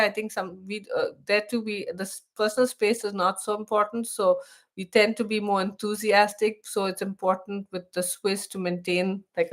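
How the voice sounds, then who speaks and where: Chatty Indian woman hosting a live stream. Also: medium pitch. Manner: chatty, many restarts, reads text aloud. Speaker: host of a live stream for expats. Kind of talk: casual live stream chat.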